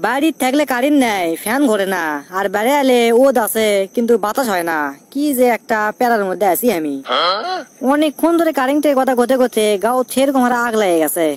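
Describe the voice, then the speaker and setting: A man's voice: very high-pitched, animated, fairly quick. A man voicing a wily, grumbling young village headman in a comic cartoon, speaking with a rustic Bogura accent from northern Bangladesh. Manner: rustic, complaining, wisecracking. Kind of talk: comic cartoon dialogue and monologue.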